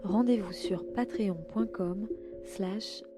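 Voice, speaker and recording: A woman guiding a relaxation session as a yoga nidra host, calm and soothing, giving gentle instructions. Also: medium pitch, takes it slowly. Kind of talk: guided relaxation narration. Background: relaxation music.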